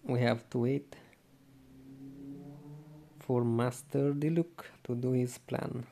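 A man giving casual, low-key gameplay commentary as he plays, in an unhurried way. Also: steady tone, dark tone, slight background noise. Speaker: gaming video creator. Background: faint game music.

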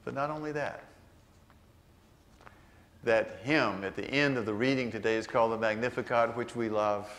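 Older priest preaching slowly and earnestly. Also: male voice, medium pitch, a bit bright. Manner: unhurried, earnest preaching with slowed emphatic phrases. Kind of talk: sermon. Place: large church sanctuary.

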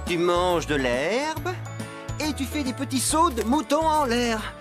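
A man playing an excitable, well-meaning farmhand in a children's cartoon, easily exasperated and very expressive. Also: very high-pitched, takes it slowly, heavily acted. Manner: animated, exasperated. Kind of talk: children's cartoon dub acting. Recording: music bed behind.

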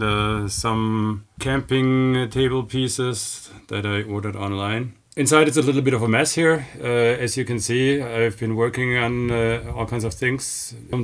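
A man in his forties, a native German speaker, talking casually to camera inside a truck cab, explaining his build with many ums and uhs. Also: medium pitch. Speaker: vlogger building a camper. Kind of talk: casual DIY update to camera.

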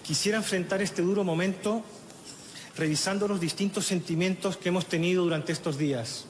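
A man's voice: sits high, a little hiss or hum. Middle-aged Chilean man, solemn eulogist at a lectern, raised voice, faint crowd ambience behind. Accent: Chilean Spanish. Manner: solemn, measured, with halting restarts. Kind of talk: funeral eulogy, read aloud. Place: outdoor funeral gathering. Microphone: lectern microphone. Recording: echoey, reverberant outdoor sound with faint ambience.

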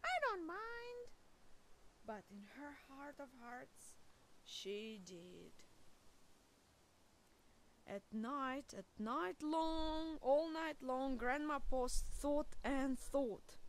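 A woman storyteller reads a children's picture book aloud. Her voice is high, and she takes her time, shaping phrases deliberately and expressively for very young listeners. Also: a little hiss or hum.

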